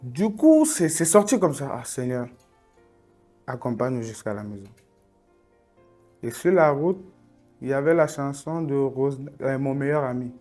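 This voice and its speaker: A young man tells his story in an earnest, reflective way. His voice sits at a middling pitch and often sinks to a quiet, inward tone. Soft music plays faintly behind him. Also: animated, normal speed, pauses often. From Cameroon. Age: 20s. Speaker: testimony speaker. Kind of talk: personal faith testimony.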